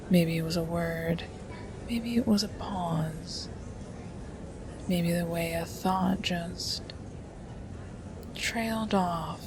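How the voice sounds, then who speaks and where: A woman playing a sly, mesmerizing hypnotist in an ASMR roleplay, with a hushed, deliberate, teasing delivery. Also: lowish voice. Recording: noisy recording with ambient hiss.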